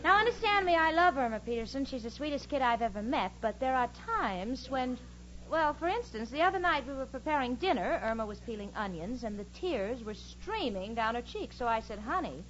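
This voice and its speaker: A woman's voice: a little high, very animated, a little fast, a bit bright. An American woman playing a sensible, sardonic single working woman in a 1940s radio comedy. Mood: wry, fond. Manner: sardonic, crisply theatrical delivery. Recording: muffled old radio broadcast, noisy studio audience.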